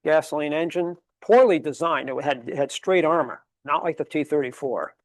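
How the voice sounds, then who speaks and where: A man's voice: a little high, moderate pace, no room sound. A man giving a history lecture at a public library, emphatic and fond of rhetorical questions, rattling off dates and figures. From Connecticut, USA. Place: library meeting room.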